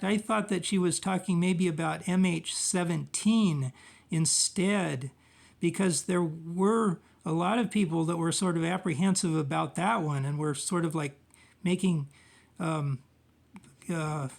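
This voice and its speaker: Halting man with a gravelly voice. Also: high-pitched, fairly even intonation. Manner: halting, hesitant, rambling with frequent trailing phrases. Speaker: amateur researcher presenting own video. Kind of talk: informal monologue recounting research.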